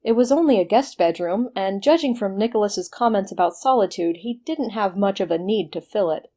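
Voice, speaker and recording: A woman's voice: mid-range voice, lively intonation, a little fast, warm tone. A woman working as a narrator, reading scripted material for an audio story production.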